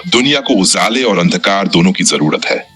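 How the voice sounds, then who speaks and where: A man's voice: sits low, animated, normal speed, a bit bright, husky, full character voice. A man voicing a kind elder sister, a radiant full-moon spirit who reassures, in a storybook tale. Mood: gentle, reassuring.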